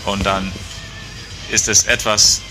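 A man's voice: on the low side, flat delivery, slow pace, music in the background. A man from Australia with an Australian accent, a native English speaker, chatting casually and searching for his words. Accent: Australian-accented German. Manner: hesitant, searching for words in imperfect German. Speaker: conversation participant. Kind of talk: casual chat.

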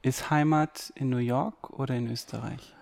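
A male interviewer with a mid-pitched voice asks questions in a conversational way. His manner is informal and inquisitive. The sound is dry, without noticeable room reflections. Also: fairly even intonation, normal speed, vocal fry, a little mellow, dry recording. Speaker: interviewer, podcast host.

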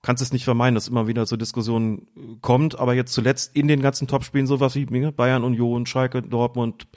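A man calmly explaining football rules in a casual podcast chat, hesitant and full of fillers. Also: mid-range voice, fairly quick. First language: German. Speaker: referee rules expert guest.

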